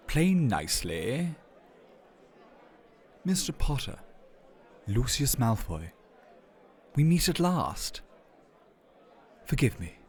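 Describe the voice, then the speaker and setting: A man playing a silky, condescending aristocratic wizard villain from fantasy film, sneering and drawing out his words. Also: medium pitch. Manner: drawn-out, sneering.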